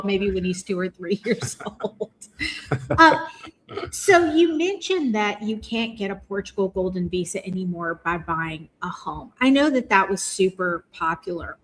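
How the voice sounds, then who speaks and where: A woman's voice: mid-range voice, a bit bright, low-quality recording. A woman hosting an interview, asking casual, friendly questions. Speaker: podcast host.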